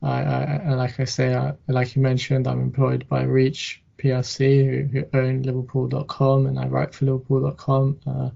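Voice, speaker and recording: Gravelly, flat-voiced man on a muffled line. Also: medium pitch. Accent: English. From Hungary. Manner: halting, many fillers and restarts. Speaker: guest journalist. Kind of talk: casual interview chat.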